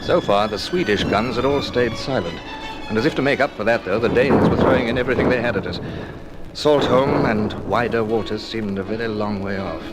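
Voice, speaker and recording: A man's voice: fairly low pitch, fairly quick, close to an everyday voice, poor audio. Man playing a dry, commanding naval commodore. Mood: measured, reflective. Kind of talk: old-time radio drama acting with first-person narration. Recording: vintage radio recording, music underneath.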